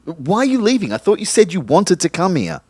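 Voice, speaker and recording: A man's voice: high-pitched, huge pitch swings, brisk, darkish tone, slightly rough, dry recording, full character voice. A man playing a confused, suspicious dead young man demanding answers in an audio drama, agitated and questioning.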